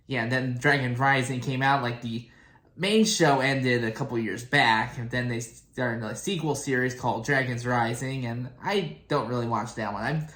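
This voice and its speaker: A young man talks in an echoey room, hosting a casual fan video. His delivery rambles and halts as he finds his way through his thoughts. His pitch sits in an ordinary middle range. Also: a bit bright. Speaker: reaction video host.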